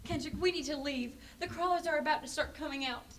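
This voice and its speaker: A woman's voice: high voice, fast talker, fairly bright tone. A young woman acting as an earnest, anxious younger sister in an amateur zombie apocalypse radio drama. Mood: anxious, upset. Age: teenager or young adult. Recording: distant microphone, faint music.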